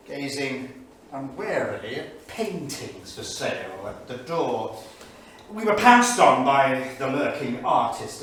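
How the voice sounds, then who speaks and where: Erudite 1930s English don, echoey theatre. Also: male voice, medium pitch, a bit bright. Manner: literary, deliberate, wry. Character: erudite, anxious English Cambridge don keeping a 1938 journal. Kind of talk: staged reading of journal entries, theatrical. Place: small studio theatre, live staged reading. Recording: very echoey theatre, distant mic, faint noise.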